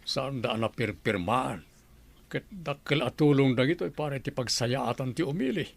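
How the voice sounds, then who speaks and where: Principled provincial governor and father, played by a man, middle-aged, mid-pitched, earnest, audio drama. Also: expressive, moderate pace, gravelly. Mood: calm, authoritative. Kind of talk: Ilocano audio drama acting.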